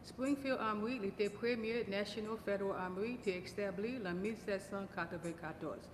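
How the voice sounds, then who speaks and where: A woman's voice: medium pitch, fairly quick, background noise. A woman park ranger giving a formal, recited introduction in a recorded video. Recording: roomy video clip with long reverb and music under it.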